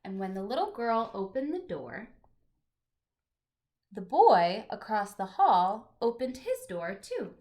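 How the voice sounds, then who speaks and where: A woman teacher reading a picture book aloud to young children, with deliberate phrasing and chatty asides to her listeners. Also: medium pitch, wildly swooping pitch, darkish tone.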